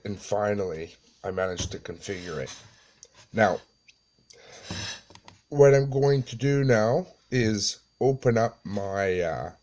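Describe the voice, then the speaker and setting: Guy with a lowish voice doing a casual walkthrough, really slow and halting, lots of pauses. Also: a little mellow. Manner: halting, plain-spoken, pauses often. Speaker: amateur tutorial presenter. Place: small dim room indoors.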